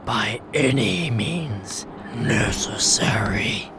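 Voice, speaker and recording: A man playing a sinister alien agent in a radio drama, persuading in a slow, breathy, menacing whisper. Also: lowish voice, expressive. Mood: sinister, coaxing. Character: sinister alien agent offering power and conquest. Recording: noisy ambient hiss.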